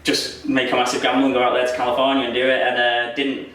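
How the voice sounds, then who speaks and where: A man's voice: mid-range voice, completely flat delivery, quick pace, fairly bright tone, a little hiss or hum. A young man chatting casually in an interview, rambling and self-deprecating, laughing often. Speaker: wrestler interviewee. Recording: echoey room, voice from far off.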